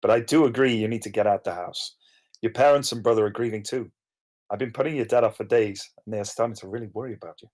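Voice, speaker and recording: A man's voice: lowish voice, fast, a little mellow, close to an everyday voice. A man playing a drained, concerned carer in a plain, conversational screenplay table read. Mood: frustrated, firm. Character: Kai, drained, concerned man caring for a grieving friend.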